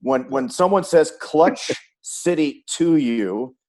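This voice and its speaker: A man's voice: on the high side, expressive, takes it slowly, warm tone. A man hosting a sports chat, asking questions in a conversational interviewing style. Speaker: web series host and sports announcer.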